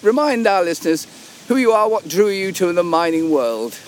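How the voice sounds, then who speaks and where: A man co-hosting a walking podcast outdoors in a valley, hoarse from a summer cold, chatty and affirming. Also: high voice, expressive, moderate pace, a little hiss or hum. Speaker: podcast co-host.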